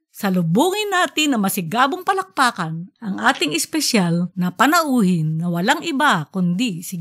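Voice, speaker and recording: A woman voicing a giddy female school principal giving a formal, rambling speech in an audiobook story. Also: mid-range voice. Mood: announcing.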